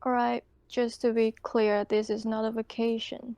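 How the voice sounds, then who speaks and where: A woman's voice: a little high, little pitch movement, moderate pace, mellow, clear, resonant tone, no echo, dull audio. A woman playing a tabletop role-playing game, speaking in character and chatting at the table with hesitant phrasing. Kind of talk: in-character role-play dialogue and table chat.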